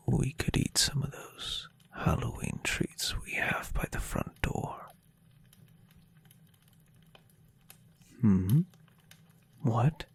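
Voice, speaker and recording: A man voicing a gentle, adoring, centuries-old vampire boyfriend in a soft-spoken, tender and unhurried ASMR roleplay. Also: low-pitched, exaggerated voice. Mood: playful, teasing. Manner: tender, breathy, unhurried, softly teasing.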